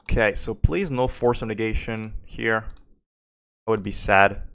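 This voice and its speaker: A man's voice: on the low side, unhurried, fairly bright tone, no echo, studio quality. A French Canadian man whose first language is French, streaming a card game and thinking aloud while answering chat questions. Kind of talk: live gameplay commentary.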